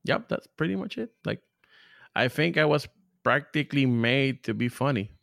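A man's voice: mid-range voice, lively intonation, moderate pace, gravelly, fairly bright tone, dry recording, clean studio sound. A man in his thirties from Puerto Rico, a comedian guest talking casually and self-deprecatingly on a podcast. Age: 30s. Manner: self-deprecating, laid-back, rambling.

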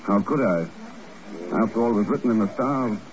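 An actor playing a cool, shrewd secret agent with dry, understated sarcasm, in a 1950s radio drama style. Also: male voice, fairly low pitch, moderate pace, slight background noise, lightly acted. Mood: dry, probing. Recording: muffled vintage 1950s radio recording with music.

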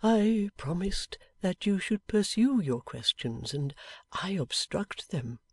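A woman voicing a gentle, dignified, weary old man in an audiobook, with a courteous, deliberate manner. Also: mid-range voice, very animated, slightly rough, dry recording, pitched above the performer's own voice, full character voice. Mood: apologetic, gentle. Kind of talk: dramatised audiobook character reading.

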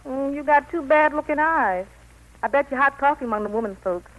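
Actress as a high-voiced, sassy flirt. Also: female voice, brisk, close to an everyday voice. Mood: flirty. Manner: teasing, coquettish. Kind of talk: 1940s radio acting. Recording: tinny vintage 1940s radio broadcast.

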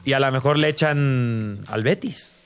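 A man's voice: medium pitch, measured pace, clear, resonant tone, faint music behind. A man with a Mexican accent co-hosting a casual sports debate, speaking emphatically and repeating himself. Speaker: sports show co-host.

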